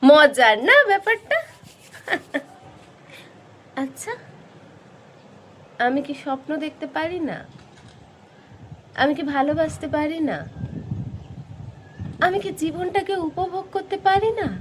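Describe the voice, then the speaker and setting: Woman acting a high-pitched, wounded, defiant sex worker. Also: lively intonation, a little hiss or hum. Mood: bitter, indignant, then pleading, aching. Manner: emotional, rhetorical, with heavy dramatic pauses. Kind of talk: theatrical dramatic monologue.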